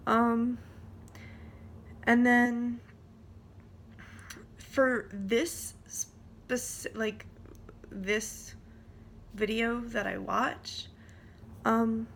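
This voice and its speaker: Slow-paced young woman vlogging casually. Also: mid-range voice. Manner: hesitant, informal, trailing off mid-thought. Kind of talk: casual vlog commentary. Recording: noticeable background noise.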